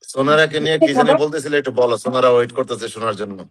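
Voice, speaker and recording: A man's voice: mid-range voice, racing. A man with a Bangladeshi accent, casually hosting and steering a group chat.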